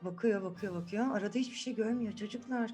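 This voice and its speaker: A woman telling a fairy tale to children in an animated, conversational way, often addressing them directly. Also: mid-range voice, fast talker, faint music behind. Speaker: children's storyteller.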